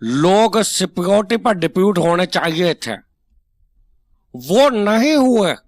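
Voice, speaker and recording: A man's voice: sits high, very animated, takes it slowly, no echo, full character voice. A man with a Pakistani Punjabi accent acting a stubborn, bossy, argumentative host on a parody political talk show. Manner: interrupting, bossy, long-winded.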